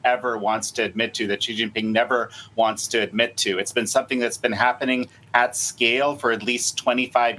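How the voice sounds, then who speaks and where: Earnest male journalist guest, halting starts. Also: medium pitch, sharp tone, slight background noise, poor audio. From United States, Polish parents. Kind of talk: political interview.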